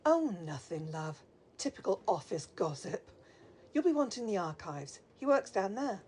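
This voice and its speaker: A woman's voice: medium pitch, big pitch swings, normal speed, darkish tone, a little hiss or hum, natural voice. A woman acting a breezy, motherly office receptionist in an audio drama, with a cosy sing-song manner. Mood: cheery, gossipy. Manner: sing-song, cosy, calls people love.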